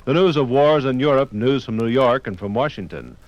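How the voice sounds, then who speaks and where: An American man reading scripted narration for a 1950s radio documentary in a measured, formal manner. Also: medium pitch, lively intonation, highs cut off.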